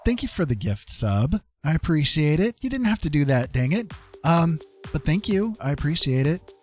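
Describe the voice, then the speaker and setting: A man casually chatting as a livestream host, earnest and encouraging, talking in a halting way with pauses. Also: a little high, sing-song, soft background music. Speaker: livestream host and digital artist.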